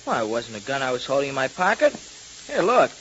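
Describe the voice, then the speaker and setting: A man's voice: medium pitch, very animated, quick pace. An American male actor playing a plucky, boastful boy in a 1930s radio serial, eager and slangy. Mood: proud. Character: plucky, boastful boy detective's young helper. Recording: muffled, loudly hissing old radio recording.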